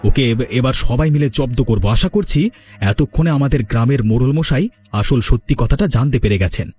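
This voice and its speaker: A man's voice: mid-range voice, lively intonation, normal speed, darkish tone, breathy edge. A man voicing a sly, mischievous young village man in a cartoon drama. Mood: earnest.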